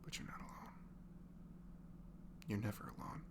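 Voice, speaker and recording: A young man softly leaving an intimate, improvised comfort message in ASMR style, tender and reassuring, murmuring and often slipping into a breathy whisper. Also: moderate pace. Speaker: amateur audio performer playing a comforting friend. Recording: clearly noisy home recording with hum.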